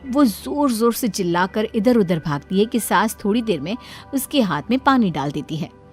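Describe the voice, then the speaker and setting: A woman narrating a scripted Hindi story in a dramatic, expressive way. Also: mid-range voice, normal speed. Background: background music.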